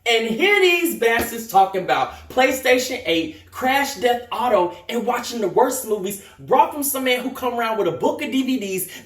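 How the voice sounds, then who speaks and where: High-pitched, wounded young man, acted out by a man. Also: distant mic. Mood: indignant. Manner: theatrical, emphatic, swinging from outburst to hushed hurt. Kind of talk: dramatic stage monologue. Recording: echoey room.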